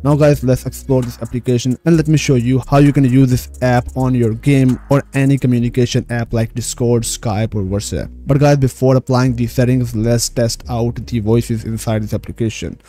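A man's voice: mid-range voice, steady tone, normal speed, few pauses, warm tone. A man narrating a screen tutorial as host, repeatedly addressing viewers directly. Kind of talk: screen-recorded tutorial voice-over.

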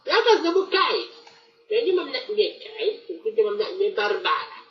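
A woman playing a flustered, strict schoolteacher who scolds her class haltingly in an amateur comic radio play. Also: mid-range voice, sing-song, distant mic, lightly acted. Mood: indignant. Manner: halting, repeating words, scolding. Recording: muffled, roomy homemade recording.

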